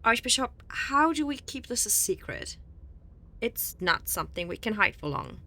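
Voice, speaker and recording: A woman's voice: fairly high pitch, sing-song, moderate pace, bright, forceful tone, full character voice. A woman playing a worried, practical nun who works as an engineer, tentative and earnest, in an audio drama.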